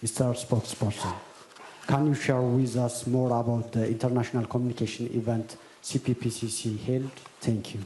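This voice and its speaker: An Ethiopian-accented man asks a formal question as a reporter. His voice is dark and fairly even, heard in an echoey, slightly noisy hall. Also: medium pitch, normal speed. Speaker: broadcast journalist asking a question. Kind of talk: formal press conference question. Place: large press conference hall. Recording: echoey hall with background noise.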